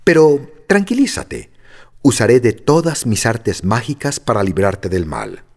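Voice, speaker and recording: A man voicing a kindly little old witch woman who speaks in a gentle, measured way. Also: medium pitch, sing-song.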